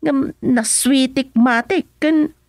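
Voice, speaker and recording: High-pitched, gossipy Ilocano servant. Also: female voice, slow, a little mellow, no echo, clean studio sound. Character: gossipy female servant, animated and cheeky. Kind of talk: radio serial comedy-drama acting.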